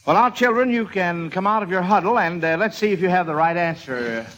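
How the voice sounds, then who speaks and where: Old timey radio quiz guy, kinda high voice, hesitant, talking to kids, vintage broadcast sound. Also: animated, brisk, a bit bright, rough recording. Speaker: quiz show host.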